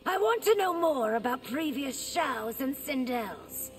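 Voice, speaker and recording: An actress voicing an imperious, impatient young empress in a video game, demanding and haughty, with a creaky edge. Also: female voice, high voice, expressive, unhurried, faint music behind.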